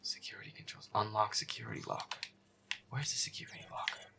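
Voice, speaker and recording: A man playing a feisty, foul-mouthed boyfriend who mutters exasperatedly while playing a scary video game, often in a breathy whisper. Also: lowish voice, fast talker. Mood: grumbling. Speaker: audio roleplay performer. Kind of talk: casual gaming commentary in boyfriend audio roleplay.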